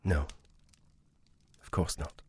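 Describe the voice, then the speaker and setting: An actor playing a courteous, reserved police sergeant in a period audio drama, speaking in a formal, measured manner. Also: male voice, no room sound, whispered, close to an everyday voice. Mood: sober, earnest.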